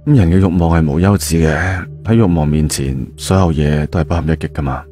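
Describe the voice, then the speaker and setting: A cheeky young Cantonese guard, acted by a man, speaks in a low, mellow voice. He is smug and flippant, in audio drama style with music behind. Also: a little fast. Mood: matter-of-fact. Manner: teasing, flippant.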